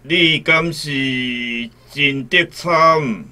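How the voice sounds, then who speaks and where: An actor with a Taiwanese accent playing a pompous, unfeeling colonial magistrate in a radio drama, drawling officiously. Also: male voice, mid-range voice, drawn-out words, sharp tone, full character voice. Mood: officious, dismissive.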